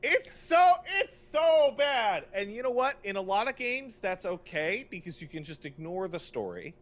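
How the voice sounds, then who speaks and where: A man's voice: high-pitched, big pitch swings, moderate pace. A man co-hosting a podcast in a casual, opinionated rant, exasperated and emphatic, repeating phrases for effect and swearing freely. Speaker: podcast co-host.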